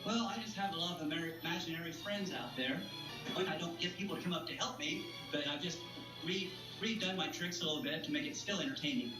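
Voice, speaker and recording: A man's voice: a little high, fast talker, music in the background. A man chatting as a magician guest, presenting with playful, folksy patter in an interview style. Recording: roomy, distant mic, faint noise and hum.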